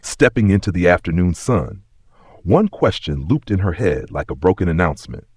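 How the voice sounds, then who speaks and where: A man's voice: low voice, expressive, moderate pace, darkish tone, slightly rough, dry recording, clean studio sound. A man narrating a scripted story with a dramatic, suspenseful and emphatic delivery.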